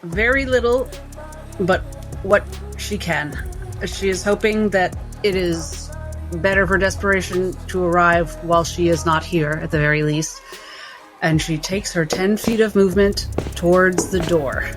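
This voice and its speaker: A woman's voice: lowish voice, unhurried, faint music behind, poor audio. A woman playing a tabletop game, narrating her character's moves.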